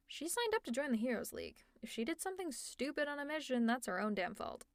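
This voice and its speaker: Girl doing a teasing villain lady for asmr roleplay, exasperated but caring, highish voice, super dry recording no room. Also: a bit bright, no echo. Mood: awkward, reassuring. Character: protective, teasing female villain fussing over a hero. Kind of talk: scripted roleplay ASMR, one-sided dialogue.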